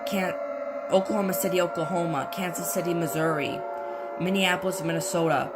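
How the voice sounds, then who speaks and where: A woman reading a scripted emergency broadcast as an announcer, in formal, solemn, measured phrases. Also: on the low side, fairly even intonation, very noisy. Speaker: emergency alert announcer and scenario narrator.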